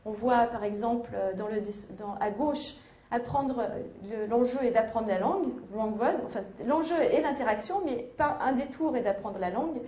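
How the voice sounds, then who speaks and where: A woman giving an academic conference lecture, measured, with hesitations and self-corrections. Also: a little high, mellow, slight background noise. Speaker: university lecturer. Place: lecture hall. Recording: echoey lecture hall.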